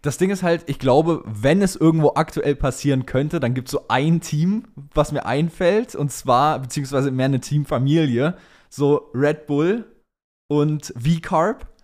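A man's voice: a little high, measured pace, a little mellow. A young man co-hosting a podcast in casual chat, using slangy talk peppered with English words. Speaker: podcast co-host.

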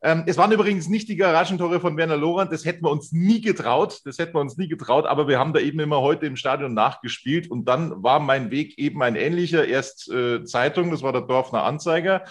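A man's voice: fairly high pitch, fast, hardly any pauses. A middle-aged man speaking casually as an interview host, with the ease of a sports journalist.

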